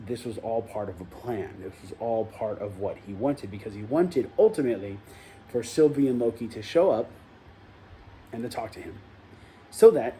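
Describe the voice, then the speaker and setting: A man's voice: medium pitch, mellow, a little hiss or hum, poor audio. A man hosting his own review show, recapping an episode in a casual, rambling way that often corrects itself mid-sentence. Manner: rambling, loose, self-correcting delivery.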